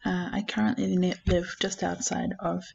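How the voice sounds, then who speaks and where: A woman's voice: lowish voice, flat delivery, moderate pace, gravelly, darkish tone, slight background noise. A woman from Australia who works at a residential school, speaking reflectively with frequent "you know"s. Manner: reflective, frequent 'you know'. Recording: dull lo-fi sound.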